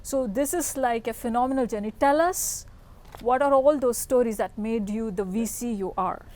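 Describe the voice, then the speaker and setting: A woman's voice: a little high, normal speed, darkish tone. A woman hosting a podcast, introducing and questioning her guest in an effusive, emphatic manner. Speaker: podcast host and interviewer.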